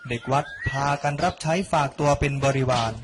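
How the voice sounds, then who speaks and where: A young man reading a scripted narration for an animated story. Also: a little high, a little fast, background noise. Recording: loud music under the voice. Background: loud background music.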